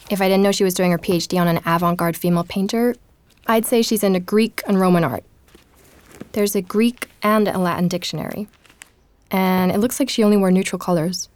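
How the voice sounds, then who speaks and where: Casting: actress for intent female journalist-narrator, slightly low register, reflective and confiding, audio drama with music bed. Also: darkish tone, close to an everyday voice. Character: woman journalist narrating her investigation, curious and intent. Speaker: podcast host and narrator.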